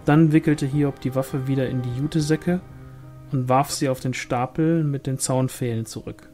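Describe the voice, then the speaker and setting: A man narrates a fantasy story as an audiobook reader. His voice is mellow and relaxed, at a middling pitch, over faint music. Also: normal speed. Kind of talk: scripted literary narration of a fantasy novel.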